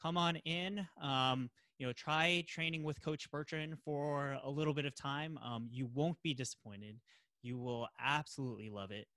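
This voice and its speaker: A man hosts a casual podcast with a bright, forceful voice. He sounds enthusiastic and emphatic as he promotes and recommends things. The sound is close and dry. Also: mid-range voice, moderate pace, no room sound. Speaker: podcast host.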